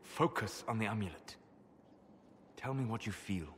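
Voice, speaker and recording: An actor playing a calm, learned elf mage in a video game, speaking in a measured, formal, instructive way. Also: male voice, lowish voice, in a large hall, slight background noise, natural voice. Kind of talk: dramatic video game acting.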